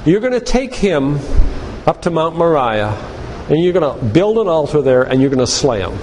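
An older man delivers a sermon-like lecture with emphatic, preacherly phrasing. His voice sits a little high for a man. It rings through a church hall with long reverb and some noise behind it. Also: sing-song, normal speed, darkish tone. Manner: emphatic, preacherly, with rhetorical questions. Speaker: physician giving a faith-based lecture. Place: church, speaking to a congregation.

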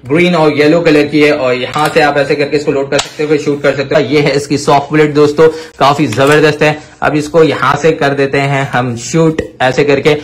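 A young adult man with an Indian accent presenting toys to children in an excited, exclamatory, emphatic way. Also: on the high side, normal speed, hardly any pauses, a little hiss or hum. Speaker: toy review channel host. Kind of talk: excited toy showcase commentary for children.

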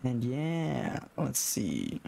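A young man with a hoarse, raspy voice from being sick, talking through a horror game and reacting to scares. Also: mid-range voice, wildly swooping pitch, drawn-out words, background noise. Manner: jumpy, swearing, talks to himself while playing. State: hoarse from illness. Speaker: gaming YouTuber. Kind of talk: horror game let's play commentary. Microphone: headset.

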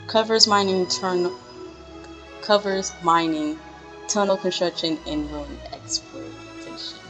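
A young woman streamer reading game text aloud haltingly, correcting herself with casual asides. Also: lowish voice, sing-song, pushed and bright, faint music behind. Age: young adult. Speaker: gaming reaction streamer.

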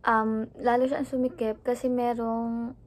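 A woman's voice: on the high side, flat delivery, a little slow, a little mellow. A young woman from the Philippines with a Filipino accent, chatting casually in a home vlog and mixing Tagalog with English. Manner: chatty, switching between Tagalog and English mid-sentence. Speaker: vlogger. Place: apartment at home.

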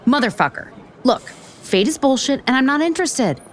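A woman's voice: sits high, big pitch swings, moderate pace. An actress playing a blunt, exasperated single woman in a romantic comedy audio drama, sarcastic, emphatic and profane. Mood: irritated, dismissive. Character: blunt, exasperated, foul-mouthed single woman, sharp-tongued cynic.

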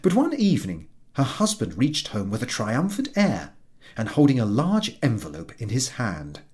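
A man narrating a literary story as an audiobook reader, measured and expressive. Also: medium pitch.

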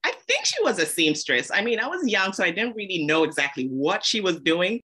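A woman's voice: mid-range voice, wildly swooping pitch, brisk, pushed and bright, breathy edge. A woman from Zambia, a podcast guest, telling her story casually and laughing often.

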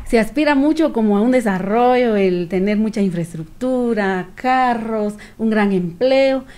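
Higher-voiced, earnest Guatemalan woman. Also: measured pace, darkish tone. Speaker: activist guest. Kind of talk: reflective radio interview answer. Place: small radio studio with several people. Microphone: studio microphone on stand.

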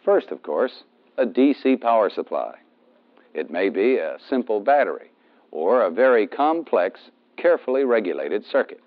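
An American man narrates an instructional film in a methodical, explanatory way. His pitch moves in a lively way as he stresses key terms. The sound is dull and band-limited, like a 1970s film soundtrack. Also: moderate pace.

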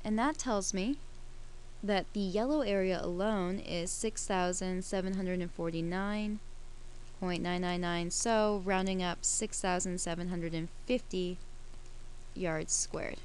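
A woman's voice: fairly low pitch, a little slow, a little mellow, slight background noise, poor audio. A woman teaching a math lesson over a screen recording, explaining each step while she works the problem.

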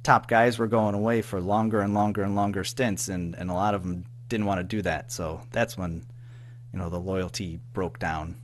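Low-voiced American man chatting hesitantly, noisy recording. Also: fairly even intonation, normal speed. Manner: hesitant, informal, rambling. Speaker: interview guest, audio drama writer and producer. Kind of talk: casual interview chat.

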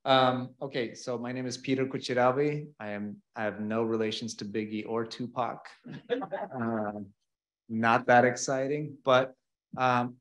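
A man's voice: mid-range voice, a little slow, fairly bright tone. A man from Ukraine in his thirties, speaking on a panel into a handheld mic in a large event space, chatty and self-deprecating, laughing between points. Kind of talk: panel talk.

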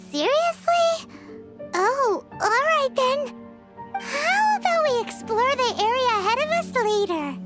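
A woman voicing a small, excitable, childlike fairy companion in a video game, talking about herself in the third person. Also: exaggerated voice. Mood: puzzled. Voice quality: squeaky, childlike. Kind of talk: video game character dialogue. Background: game music.

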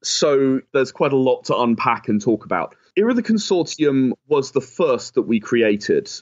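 A man giving conversational, explanatory interview answers, often softening his points with "sort of". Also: mid-range voice, big pitch swings, unhurried, highs cut off. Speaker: game designer and writer, interview guest.

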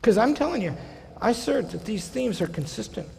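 A man in his sixties, a college president, gives a seminar lecture from a podium, conversational with wry asides and slowing for quotations. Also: high voice, big pitch swings, gravelly, mellow, a little hiss or hum. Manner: conversational, wry asides, slows down for quoted passages. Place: large lecture hall stage at a podium. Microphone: podium microphone. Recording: hall reverb.

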